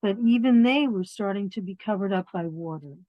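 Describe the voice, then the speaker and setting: A woman reading picture books aloud to young children, expressive, pausing to explain things in simple words. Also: mid-range voice. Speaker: children's storyteller. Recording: dull, roomy lo-fi sound.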